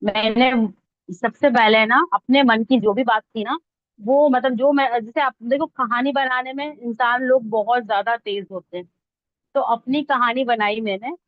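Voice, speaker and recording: High-voiced woman teaching, dull lo-fi audio. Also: fairly even intonation, unhurried, no room sound. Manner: conversational, checks that listeners follow. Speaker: instructor teaching reel editing online. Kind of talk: informal step-by-step tutorial talk. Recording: dull lo-fi close dry audio.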